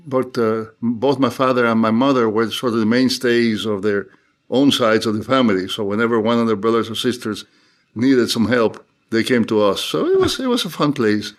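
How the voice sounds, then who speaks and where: An older man from Cuba speaks as an interview guest, recalling things in a measured, reflective way. He often stops and restarts mid-phrase, and his voice sits at an ordinary middle pitch. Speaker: theologian guest. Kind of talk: unscripted interview talk.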